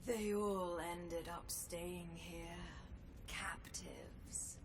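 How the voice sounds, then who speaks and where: Game villainess: haughty, elegant duelist, extremely unhurried theatrical delivery, noticeable ambience behind the voice. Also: female voice, on the low side, steady tone, noisy background. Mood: haughty, melancholy. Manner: theatrical, ornate, deliberate phrasing. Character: proud, elegant swordswoman, eldest sister of embroiderers. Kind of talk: dramatic video game voice acting.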